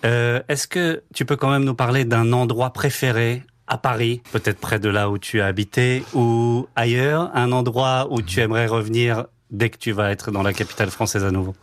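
Relaxed male radio interviewer. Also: mid-range voice, normal speed, few pauses. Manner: relaxed, conversational questions.

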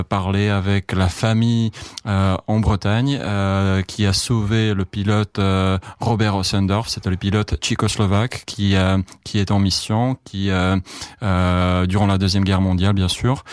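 Low-voiced hesitant man, non-native speaker. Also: no room sound, clean studio sound. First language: Czech. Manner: hesitant, many restarts and fillers. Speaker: radio journalist. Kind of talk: casual interview answers.

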